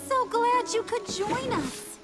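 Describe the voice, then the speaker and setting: An actress playing a gleeful, menacing villain sidekick in a video game. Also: female voice, very high voice, slow, over loud music. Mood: gleeful menace. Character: gleeful, menacing female villain sidekick, sing-song taunter.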